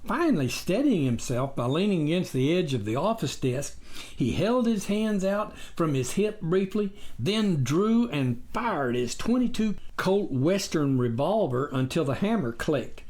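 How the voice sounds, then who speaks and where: A man reading a story as scripted narration, in a deliberate, expressive audiobook style. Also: on the high side. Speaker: author reading own memoir.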